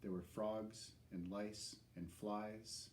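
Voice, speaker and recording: A man's voice: lowish voice, level tone, slow pace. Man, earnest storytelling reading, church echo, faint background hiss. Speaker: family reader in a church service. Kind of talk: liturgical reading aloud, speaking in the first person as Moses.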